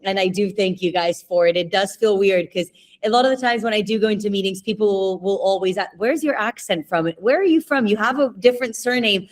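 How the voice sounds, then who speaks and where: A woman's voice: mid-range voice, brisk. A young adult woman, raised in Spain, chatting openly as a podcast guest and laughing often. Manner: chatty, laughs often, asks herself questions. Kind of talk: casual interview answers.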